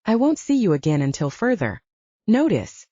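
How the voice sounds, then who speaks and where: A woman voicing a brooding supernatural man in an audiobook, soft-spoken, formal and restrained. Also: very animated, moderate pace, no echo, highs cut off. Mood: grave, restrained. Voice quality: soft, low. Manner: formal, grave, controlled. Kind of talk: audiobook character dialogue.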